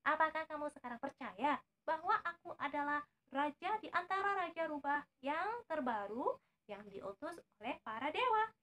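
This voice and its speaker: A woman's voice: high-pitched, big pitch swings, normal speed, fairly bright tone. A woman voicing a sly, boastful fox for a children's story, speaking pompously as if indignant. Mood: boastful, haughty.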